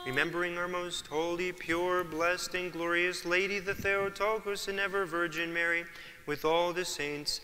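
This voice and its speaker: A clergyman leading an Orthodox litany in a solemn, measured intoning manner. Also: sits high, flat delivery, bright, forceful tone, soft background music. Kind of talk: chanted liturgical litany. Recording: church reverb, faint ambience.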